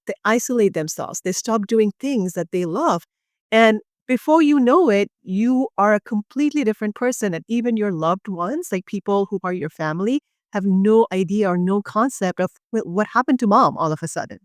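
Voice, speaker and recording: A woman's voice: medium pitch, dry recording, studio quality. A woman from Bangladesh, a urogynecologist guest, explaining pelvic health in an earnest, passionate conversational way with many fillers.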